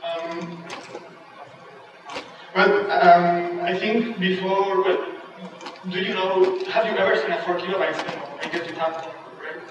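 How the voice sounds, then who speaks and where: A young man with a strong Spanish accent presents from a big auditorium stage in a fairly high, flat voice. He speaks haltingly, pausing on fillers as he searches for words. The camera catches him from far off, so the sound is dull and washed in long hall reverb. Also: over music. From Spain. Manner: halting, careful delivery with frequent fillers. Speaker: conference speaker. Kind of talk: technical presentation. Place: large dark auditorium stage.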